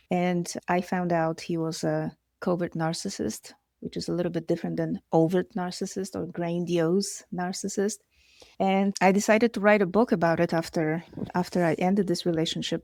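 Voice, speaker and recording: Polish-accented woman psychologist, gravelly low voice. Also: a little mellow, no echo, clean studio sound. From Poland. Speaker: psychologist guest. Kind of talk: interview answers.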